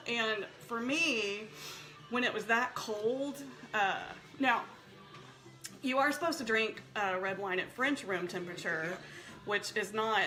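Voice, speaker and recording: Female host, casual wine chat, chatty and digressive, bright, slightly high voice. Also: rough recording. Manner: chatty, laughing often, rambling asides. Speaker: show host.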